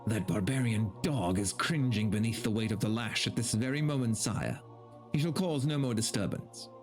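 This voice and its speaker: A man's voice: on the low side, moderate pace, soft background music, lightly acted. A man voicing a deferential noble courtier in a fantasy story, speaking formally in archaic phrasing. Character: deferential male noble courtier reporting to his ruler.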